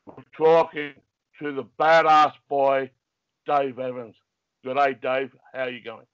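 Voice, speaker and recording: A man's voice: mid-range voice, fairly even intonation, slow pace, fairly bright tone, smooth, dry recording, highs cut off. Enthusiastic Australian man hosting. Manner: enthusiastic, rambling questions. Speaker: podcast host. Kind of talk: interview hosting.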